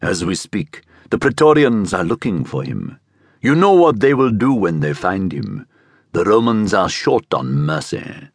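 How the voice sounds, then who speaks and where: A male narrator plays a commanding older man of quiet menace in an audiobook tale. His speech is guttural and Egyptian-accented, deliberate and persuasive, with wide swings of pitch in a dry recording. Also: sing-song, slightly rough, no room sound, exaggerated voice. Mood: urgent, persuasive.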